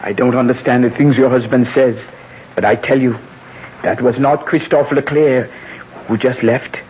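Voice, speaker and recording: A performer playing a stern, vengeful elderly aunt in a 1970s radio drama, making solemn, ominous pronouncements in a low voice. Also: fairly even intonation, moderate pace, natural voice. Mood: grim certainty. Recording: dull, noisy 1970s radio broadcast.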